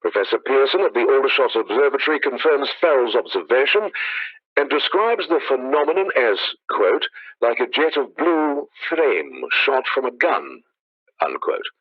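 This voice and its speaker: A man acting a formal, measured 1930s radio news announcer in a radio play. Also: mid-range voice, sing-song, slightly rough. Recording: dull lo-fi radio sound.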